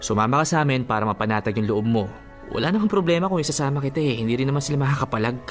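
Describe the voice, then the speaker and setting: A man playing a gentle, devoted young boyfriend with a Filipino accent, pleading expressively in a radio drama. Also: medium pitch, lively intonation, rapid-fire, soft background music, natural voice. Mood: coaxing, pleading.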